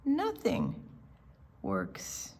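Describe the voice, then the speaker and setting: An older woman reading a picture book aloud to children, expressively, pausing to explain the pictures. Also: fairly low pitch, wildly swooping pitch, gravelly, a little mellow, husky. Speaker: storytime reader.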